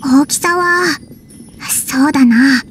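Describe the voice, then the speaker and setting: A woman's voice: high-pitched, takes it slowly, a bit bright, a little hiss or hum. A woman voicing a tiny, childlike, cheeky fairy companion in an anime game, chirpy and blunt. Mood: pondering. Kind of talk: Japanese anime game voice acting.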